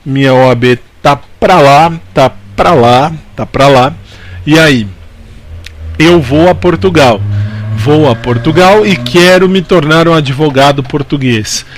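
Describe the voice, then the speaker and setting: A man's voice: on the high side, a little slow. A Brazilian man giving an informal exam-prep law lecture, repeating key points and answering his own questions in a didactic way. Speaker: law teacher.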